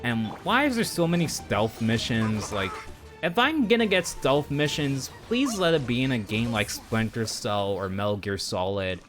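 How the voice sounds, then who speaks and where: A man's voice: mid-range voice, expressive, moderate pace, fairly bright tone. A man chatting casually and informally as a game livestreamer, rambling with opinionated, exasperated asides. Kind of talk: casual rambling stream chat about games. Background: background music.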